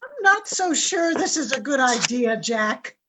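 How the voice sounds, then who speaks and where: Lady playing skeptical complaining wife in a radio play, high, slow, very up and down. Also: expressive, noisy background. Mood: wry reluctance. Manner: dry, reluctant, complaining. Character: doubtful, sardonic middle-aged wife and mother. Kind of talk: amateur radio play acting.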